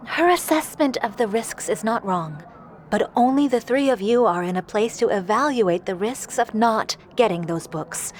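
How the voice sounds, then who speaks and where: An actress playing a wise, cautious court adviser in a fantasy audio drama, with formal, precise, archaic diction. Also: female voice, on the high side, animated, measured pace, a little hiss or hum. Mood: grave, measured.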